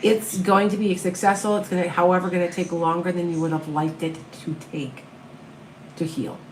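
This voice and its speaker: A woman with a Midwestern accent talks to her live viewers in a calm, comforting way. The room around her is echoey, with a faint hum behind her voice. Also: fairly low pitch, moderate pace. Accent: Upper Midwest American. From American Midwest. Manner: calm, soothing, reassuring. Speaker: tarot reader and medium. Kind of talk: live-stream reading viewer questions.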